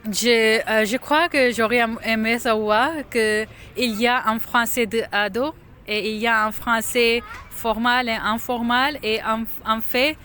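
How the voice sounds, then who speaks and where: A woman's voice: a little high, fairly even intonation, sharp tone, slight background noise. Hesitant young woman. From Pakistan. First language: Urdu. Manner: hesitant, halting, searches for words with many restarts. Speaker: interview guest. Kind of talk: casual interview. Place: outdoors on a city street.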